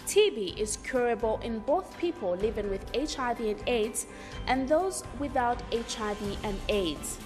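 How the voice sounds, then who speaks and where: A woman's voice: on the high side, expressive, measured pace. Formal woman narrator over music. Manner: formal, instructional. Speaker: documentary narrator. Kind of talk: scripted educational narration. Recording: reverberant sound under clear music. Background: background music.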